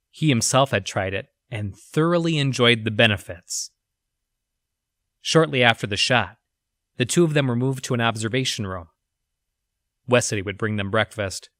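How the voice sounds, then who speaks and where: Male actor as haunted researcher, audio drama journal, medium pitch, dry sound, somber, confessional, halting delivery. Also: fairly bright tone, dry recording, close to an everyday voice. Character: troubled, guilt-ridden male researcher reading his journal.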